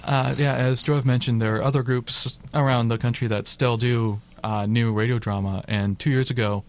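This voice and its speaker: A man's voice: mid-range voice, fairly even intonation, moderate pace. A man speaking as a radio theater company member in a casual radio interview.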